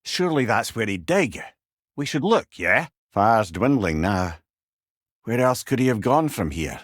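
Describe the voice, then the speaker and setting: A man playing a wise, witty older companion in a video game, with a Scottish accent and a wry, avuncular, expressive manner. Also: lowish voice, a little slow, no room sound, studio quality. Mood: puzzled, searching. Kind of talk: video game character dialogue.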